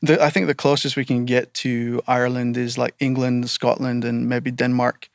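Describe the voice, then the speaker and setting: A man's voice: medium pitch, little pitch movement, normal speed, vocal fry, dry recording, studio quality. A man in his thirties from Barbados with a part Barbadian, part American accent, telling stories as a podcast guest and joking at his own expense with lots of fillers. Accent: Barbadian mixed with American. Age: 30s. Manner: joking, self-deprecating, with frequent fillers and restarts. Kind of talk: casual storytelling interview.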